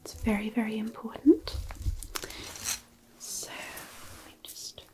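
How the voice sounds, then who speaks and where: A kindly woodland fairy, played by a woman, speaks in hushed whispers with a soft, mellow tone. She takes her time over every phrase, soothing and reassuring, as in a fantasy ASMR roleplay. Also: on the high side, steady tone, low-quality recording. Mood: soothing, careful. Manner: soothing, unhurried, softly murmured, reassuring. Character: gentle, kindly forest fairy caring for a lost visitor.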